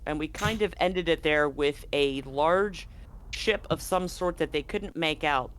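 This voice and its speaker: A woman's voice: sits low, a little slow, a bit bright. A woman as game master, recapping the story in a chatty, rambling way with frequent restarts. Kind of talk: recapping a tabletop game to a live audience.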